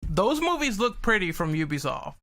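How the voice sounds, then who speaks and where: A man with a fairly high, very bright voice. He talks casually, as a panelist does in a relaxed podcast discussion. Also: moderate pace, gravelly.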